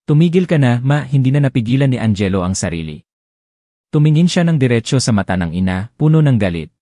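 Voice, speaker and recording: Man telling a drama story, low mellow voice, lots of pitch movement, dry close mic. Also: quick pace, no echo. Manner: expressive, emotional delivery. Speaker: narrator.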